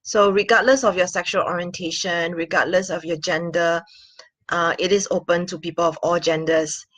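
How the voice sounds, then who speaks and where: A woman's voice: fairly low pitch, steady tone, normal speed, a bit bright, no echo. A woman, talking earnestly to a webcam in a small room, with many fillers and little laughs. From Singapore. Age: 40s. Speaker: sex and intimacy coach. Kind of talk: casual talking-head vlog.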